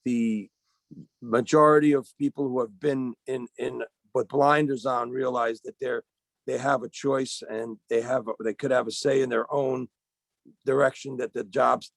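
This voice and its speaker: Gravelly man, voice going, halting delivery on a video call, dry sound. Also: mid-range voice, dry recording. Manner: halting, searching for words. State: losing his voice. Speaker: union organizer. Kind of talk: panel remarks on a webinar.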